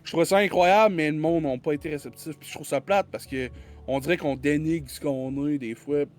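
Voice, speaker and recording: A man's voice: on the high side, brisk, a little mellow. Rambling Quebec French man. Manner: rambling, many restarts and repeated words. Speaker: podcast guest. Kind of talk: casual conversational chat.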